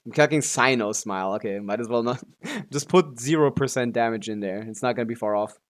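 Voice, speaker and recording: A man streams a video game with casual running commentary. His voice sits at an ordinary middle pitch. He talks offhandedly, half to himself, and swears freely. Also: a little fast. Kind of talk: casual live gameplay commentary.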